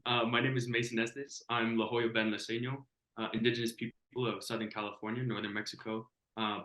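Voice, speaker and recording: A man in his twenties, a youth mentor, introducing himself as a podcast guest in a halting, earnest way with many uhs. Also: mid-range voice, pushed and bright, roomy sound, rough recording. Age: 20s. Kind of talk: casual self-introduction.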